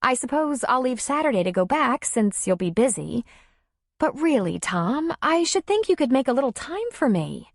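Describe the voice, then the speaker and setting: A woman's voice: very animated, normal speed, dry recording, clean studio sound, exaggerated voice. Woman voicing a tearful, demanding woman. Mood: indignant. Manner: high, tearful, insistent. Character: hurt, demanding woman pleading with her lover.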